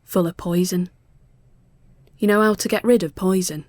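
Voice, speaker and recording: A woman playing a menacing male stranger in a ghost story, speaking tersely and quietly. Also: on the low side, steady tone, normal speed, no room sound, close to an everyday voice. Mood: quiet menace. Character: sinister, menacing male stranger posing as boiler man.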